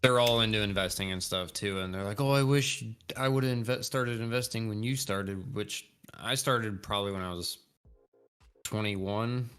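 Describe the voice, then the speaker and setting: Guy around 30 chatting on a live stream, lowish voice, kinda rambly. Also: sharp tone. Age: 30s. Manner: chatty, rambling, laughs easily. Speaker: co-host. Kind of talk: casual livestream chat.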